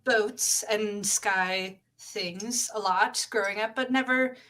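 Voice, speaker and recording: Woman as a bright-voiced rookie sky pirate. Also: medium pitch, slow. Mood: breezy, unsure. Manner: casual, hesitant. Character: young, naive, breezy rookie sky pirate from shipping family.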